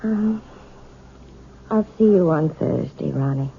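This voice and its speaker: An actress playing a timid, hesitant, anxious married housewife in an old-time radio drama. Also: female voice, sits low, animated, gravelly, slight background noise, heavily acted. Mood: subdued. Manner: hesitant, halting, apologetic. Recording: muffled, phone-like vintage radio recording.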